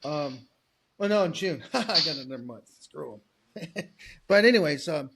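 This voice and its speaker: A man in his forties holds forth in a loose podcast conversation. His voice sits a little high, and he talks bluntly, swears freely and keeps restarting his sentences. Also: animated, normal speed, gravelly, background noise. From United States. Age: 40s. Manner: rambling, restarts often, blunt and profane. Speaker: podcast co-host. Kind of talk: casual political chat.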